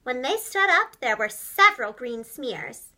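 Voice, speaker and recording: A woman telling a children's storybook tale expressively and clearly, as if to young children. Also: high-pitched, sing-song, unhurried, pushed and bright. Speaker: storyteller, preschool teacher and voice actress. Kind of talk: animated children's storybook narration.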